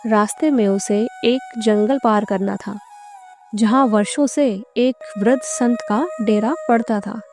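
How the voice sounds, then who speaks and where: A female storyteller delivers scripted narration. Her voice is rather high yet very mellow, with background music playing under it. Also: measured pace.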